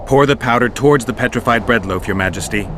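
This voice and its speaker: Game role: respectful royal ritual adviser voiced by a man, average register, measured directing, slight ambient noise. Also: medium pitch, natural voice. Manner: formal, measured, instructing. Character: deferential ritual guide instructing his king. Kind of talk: fantasy game dialogue acting.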